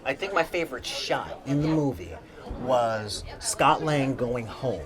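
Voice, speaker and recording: This middle-aged man talks over a steady murmur of crowd noise, with a little wind on the mic. His talk is casual panel chat, and he tells his story with animation, slipping into quoted voices. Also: medium pitch, measured pace. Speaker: panel guest.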